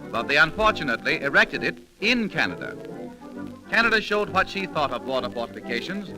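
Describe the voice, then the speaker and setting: A man's voice: a little high, sing-song, brisk, low-quality recording. A man narrating a scripted 1930s radio program in a dramatic, formal storytelling manner. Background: music.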